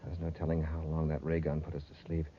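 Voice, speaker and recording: An actor playing a steady, commanding space patrol commander in a 1950s radio serial, authoritative and deliberate. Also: male voice, very deep voice. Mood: grim, urgent. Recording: muffled, very noisy old radio recording.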